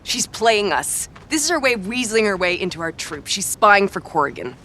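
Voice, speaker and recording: A woman playing a suspicious, sharp-tongued squad member in a video game cutscene. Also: medium pitch, very animated, fairly quick, slight background noise. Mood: accusing.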